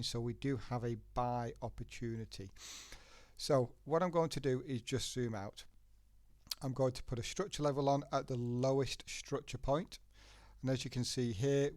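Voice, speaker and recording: A man's voice: mid-range voice, darkish tone, dry recording. A man with a head cold and a blocked nose, hosting a live trading webinar and walking through charts step by step in a chatty way. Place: working from a laptop in a home setting. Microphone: laptop.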